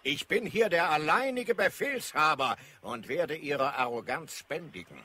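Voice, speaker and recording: A man's voice: on the high side, animated, normal speed, pushed and bright. An actor playing a domineering, indignant male commander in a radio play, pompous and stern. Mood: indignant, threatening. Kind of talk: German radio play acting.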